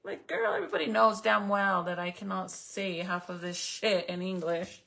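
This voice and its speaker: A gravelly woman in her thirties, a native Spanish speaker, talks casually and bluntly while she works on a small craft. Her speech is chatty and profane, and she addresses her viewers directly. Her voice sits at a medium pitch with a steady rasp of vocal fry. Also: moderate pace. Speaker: vlogger.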